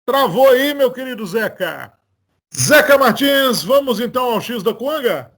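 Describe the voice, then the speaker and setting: A man's voice: extremely high pitch, animated, a little slow, fairly bright tone. A man with a Brazilian Portuguese accent hosting a political commentary talk, earnest and argumentative as he builds his points. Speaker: host of political commentary show.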